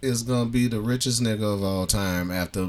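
A man's voice: on the low side, a little fast, crowd noise. A man co-hosting a podcast, swearing freely as he argues and explains his opinions in casual banter. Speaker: podcast co-host.

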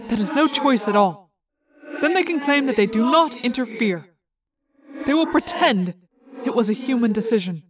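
A woman voicing a proud young male alien warrior in an audiobook, speaking formally, bluntly and deliberately. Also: fairly high pitch, expressive, crowd noise, lightly acted. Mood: grave.

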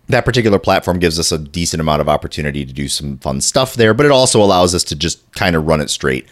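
A man with a deep, low voice, stuffy and congested from a chest cold, hosts a casual podcast. His pitch still swings up and down a lot as he rambles and restarts his sentences. He keeps clearing his throat. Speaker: podcast host.

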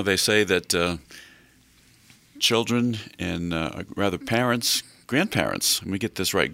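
An older man hosting a radio talk show, chatting slowly in a folksy way with many fillers. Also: low-pitched, creaky, pushed and bright, dry recording.

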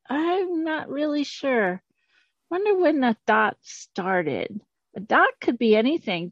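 A woman's voice: on the high side, no room sound, exaggerated voice. A woman voicing a small, wonderstruck troll who muses hesitantly in an improvised audio drama, slightly muffled.

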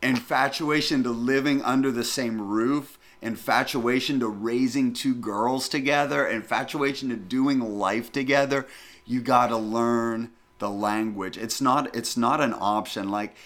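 A middle-aged man from the northern United States in casual conversation, telling stories in an animated, emphatic way and repeating phrases for effect. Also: medium pitch, a little slow.

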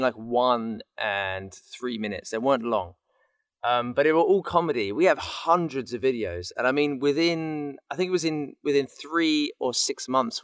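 A man in his thirties talks in an easy, playful way, as if telling stories to friends. His voice sits at an ordinary male pitch, and the sound is dry with very little room in it. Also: dry recording. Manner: playful, laughs easily while talking. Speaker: voice actor guest. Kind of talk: casual chat, telling anecdotes.

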